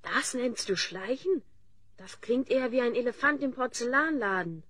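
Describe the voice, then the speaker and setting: A young hero in a fantasy audio drama. The voice is a little high, resolute and commanding, with a dry sarcastic edge. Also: female voice, animated, normal speed, fairly bright tone, a little hiss or hum. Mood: stern, wry.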